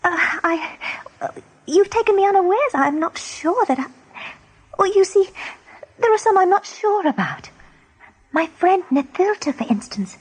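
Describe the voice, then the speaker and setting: A young doe rabbit in a 1980s radio drama, pitched high. She sounds anxious and hesitant, with an earnest, plucky streak underneath. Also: sing-song, unhurried, darkish tone, full character voice, poor audio. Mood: hesitant, alarmed. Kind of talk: 1980s radio play acting.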